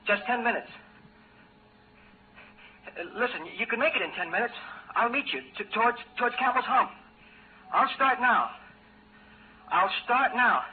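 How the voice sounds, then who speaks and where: An actor in a 1950s radio drama playing a stranded astronaut running out of oxygen, desperate and stammering. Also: male voice, very high-pitched. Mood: frantic, panicking. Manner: stammering, pleading, repeating himself. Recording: muffled, noisy vintage radio recording.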